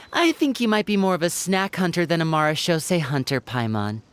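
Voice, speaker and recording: A woman's voice: on the low side, expressive, normal speed, lightly acted. A woman voicing a clever, poised young man in a video game, speaking with a light teasing touch. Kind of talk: video game character acting.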